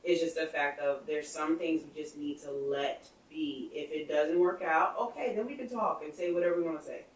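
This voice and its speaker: A woman's voice: sits low, moderate pace, few pauses. Female, 30s, podcast co-host, earnest casual opinions, mic far off in a roomy space. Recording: echoey room, far from the mic.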